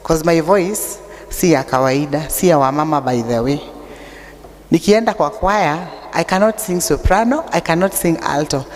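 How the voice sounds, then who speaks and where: A Kenyan woman with an unusually deep voice, preaching a sermon that mixes English and Swahili, telling stories with humor. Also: moderate pace, slight background noise. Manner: chatty storytelling with humor. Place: church. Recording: long church reverb.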